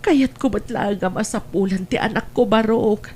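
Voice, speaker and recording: A woman's voice: medium pitch, normal speed, a little mellow, slight background noise, lightly acted. A woman acts an older provincial mother in a family radio drama. She speaks in an anxious, lamenting way, like a parent fretting over a child.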